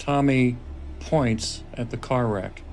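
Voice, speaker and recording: A man's voice: medium pitch, takes it slowly, darkish tone, soft background music. A man reading stage directions as scripted dramatic narration at a screenplay table read. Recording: noisy table-read room recording.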